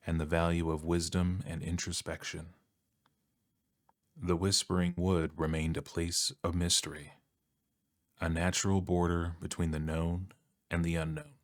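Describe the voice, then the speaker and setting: Soft-spoken deep man narrating bedtime ASMR. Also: no room sound, clean studio sound. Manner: calm, soothing, measured storybook delivery.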